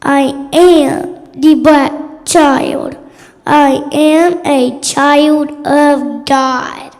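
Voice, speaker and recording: High, very slow woman reciting in hall. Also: steady tone, a little mellow. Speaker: poem reciter. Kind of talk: halting poem recitation. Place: large church hall. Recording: echoey hall.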